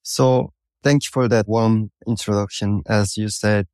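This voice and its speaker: A man in his thirties from North Korea with a Korean accent, answering interview questions in a halting, thoughtful, explanatory way. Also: fairly low pitch, steady tone, a little mellow, clean tone, no echo. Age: 30s. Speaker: podcast guest recounting his life.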